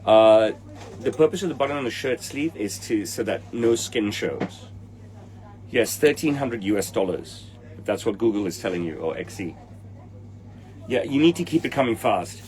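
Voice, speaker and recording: A man's voice: on the low side, expressive, moderate pace, a little mellow, low-quality recording. A man in his forties with a Hong Kong English accent, a tailor answering livestream viewers in a blunt, impatient way. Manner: blunt, impatient, swears at commenters. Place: indoor room with trophies behind him.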